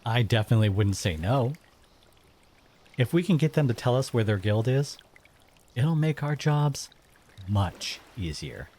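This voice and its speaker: A man's voice: medium pitch, animated, measured pace, pauses often, dark tone. A man playing a curious, casual merfolk monster in an audio drama, questioning and a little hesitant and skeptical. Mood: wary. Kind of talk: scripted audio drama acting.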